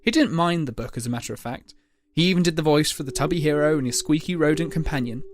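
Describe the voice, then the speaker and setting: A man's voice: a little high, fast talker. A man narrating a scripted dramatic story as the narrator of an audio drama.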